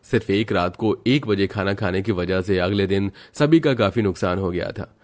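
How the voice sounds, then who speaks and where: A man's voice: deep voice, normal speed. A man reading scripted narration for a family story.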